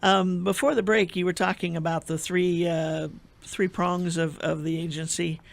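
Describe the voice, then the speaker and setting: Male radio host from the Midwest, rambling and talkative, raised pitch with vocal fry. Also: little pitch movement, moderate pace. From American Midwest. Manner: chatty, rambling questions. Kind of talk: radio interview.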